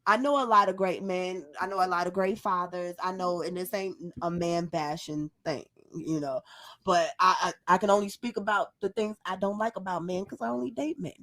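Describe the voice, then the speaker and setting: A woman's voice: lowish voice, steady tone, moderate pace, fairly bright tone. Opinionated American woman, casual podcast talk. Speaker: podcast co-host. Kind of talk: casual chat.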